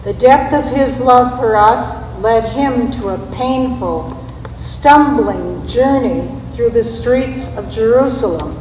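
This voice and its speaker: A woman giving a scripted dramatic recitation in a church readers theater, with a deliberate, measured delivery. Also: a little high, a little mellow. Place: large reverberant church space. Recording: echoey hall, distant mic, background noise.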